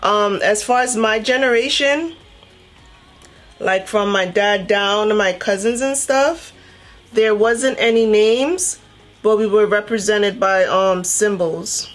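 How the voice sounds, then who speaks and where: A woman with a mid-pitched voice talks as a beauty vlogger from Trinidad and Tobago. She tells her story casually and conversationally, acting out bits of dialogue as she goes. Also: slow pace, faint music behind. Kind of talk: casual chatty storytelling while doing makeup.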